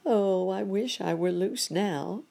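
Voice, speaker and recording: A flustered monkey doctor from a children's storybook, acted out by a woman, pitched high and swooping up and down. He laments his lot in polite, wordy exclamations, full of self-pity. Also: big pitch swings, slow, vocal fry, mellow, slightly rough, full character voice. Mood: fretful.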